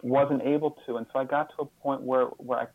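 Halting, reflective man with a gravelly edge. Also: medium pitch, level tone, dry recording, phone-quality sound. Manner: halting, repeats words and restarts sentences often. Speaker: interview guest, author. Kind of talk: reflective conversational interview.

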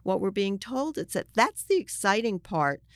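An earnest woman talks as an interview guest, with a medium-pitched voice. She makes her points emphatically in a conversational way. The sound is dry, with very little room to it. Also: sing-song, moderate pace, no room sound. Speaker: journalist guest.

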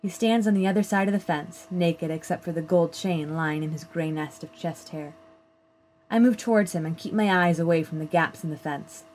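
A woman reading a first-person literary short story aloud in a measured, plain storytelling manner. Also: lowish voice, a little mellow, low-quality recording. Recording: clear background noise.

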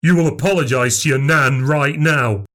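A man's voice: medium pitch, animated, a little fast, darkish tone, natural voice. A man voicing an exasperated, grumbling middle-aged father in an audiobook. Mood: outraged. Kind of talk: audiobook character voice.

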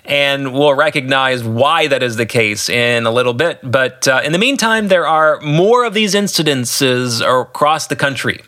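A man's voice: medium pitch, animated, unhurried, hardly any pauses, bright, forceful tone. A man giving solo podcast commentary as an author and host, earnest and explanatory. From United States.

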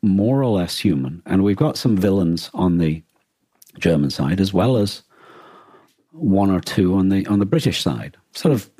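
Very deep-voiced, husky man. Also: sing-song, dark tone, dry recording. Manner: halting, thoughtful, rephrases often. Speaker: podcast co-host. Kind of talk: casual discussion of a radio drama and war.

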